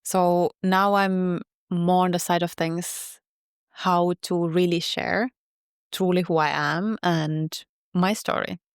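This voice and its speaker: A woman with a Finnish accent, a podcast guest speaking in an earnest, measured way. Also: on the low side, steady tone, smooth, no room sound, studio quality. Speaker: podcast guest, health coach. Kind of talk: reflective interview talk.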